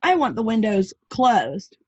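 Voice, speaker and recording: A woman's voice: a little high, big pitch swings, measured pace, a little mellow, slightly rough, heavily acted. A woman voicing a sensible male puppet friend in a storybook who complains and gets exasperated easily. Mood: complaining. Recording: dull lo-fi home recording.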